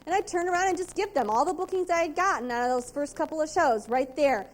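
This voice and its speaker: A middle-aged woman, giving an upbeat, precise and animated sales training talk at a convention. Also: high voice, fast talker.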